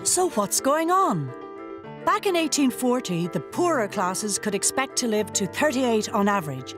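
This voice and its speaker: A woman presenting a documentary, narrating in a clear, measured, guiding way. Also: mid-range voice. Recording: music bed under narration.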